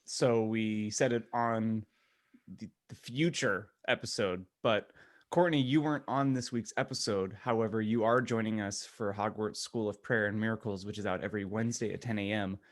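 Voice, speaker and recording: A man co-hosting a podcast in casual comedic chat, talking in a jokey, halting way. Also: lowish voice, no room sound, clean studio sound. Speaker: podcast co-host.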